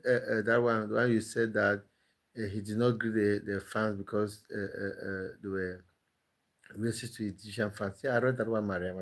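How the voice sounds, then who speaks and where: A man's voice: mid-range voice, a bit bright. A man hosting a casual live stream, reading viewers' comments aloud and answering them with easy laughter.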